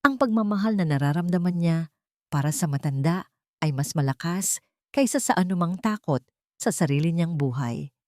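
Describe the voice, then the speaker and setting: A woman delivers scripted, dramatic story narration. Her voice sits fairly low for a woman, and the sound is dry and close. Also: lively intonation, moderate pace, no echo.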